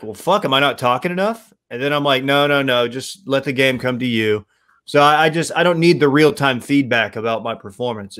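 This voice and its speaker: A young man co-hosting a casual podcast from home, a little raspy after a late night, easygoing and self-deprecating. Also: medium pitch, a bit bright. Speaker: podcast co-host. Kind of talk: casual chat.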